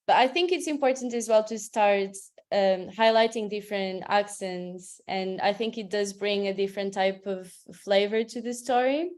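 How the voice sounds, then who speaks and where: A woman with a Portuguese accent, a translator speaking informally in a webinar, chatty and quick to laugh. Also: medium pitch. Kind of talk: casual webinar talk.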